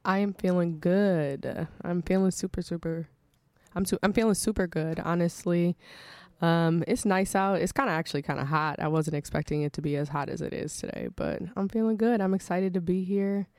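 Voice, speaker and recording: An American woman in her thirties from Milwaukee with a clean, smooth voice, recorded dry. She speaks as a guest in a casual interview, informal, slangy and candid. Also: lowish voice, normal speed, darkish tone, no room sound. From Milwaukee, United States. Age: 30s. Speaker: radio show guest, social media creator.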